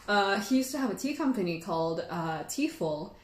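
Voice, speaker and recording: Female livestream host, medium pitch, animated rambling storyteller, casual delivery, roomy echo. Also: normal speed, slight background noise. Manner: animated, rambling, giggly, frequent "like". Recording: echoey room.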